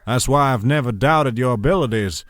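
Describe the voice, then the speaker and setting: A man's voice: mid-range voice, moderate pace, fairly bright tone, no room sound, close to an everyday voice. An actor playing a scheming, domineering father in an audio drama, controlled and condescending. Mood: cold, menacing.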